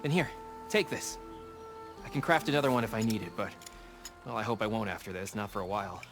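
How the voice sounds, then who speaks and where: A man's voice: fairly low pitch, lively intonation, fast, over music. An actor playing a rescued male hunter who sounds relieved and friendly. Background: game score.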